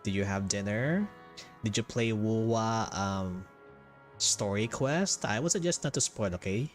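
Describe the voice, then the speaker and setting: Low-pitched Filipino man chatting on a livestream. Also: animated. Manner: halting, repeats phrases. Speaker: game livestreamer. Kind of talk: casual livestream chat. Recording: game music behind the voice. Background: video game music.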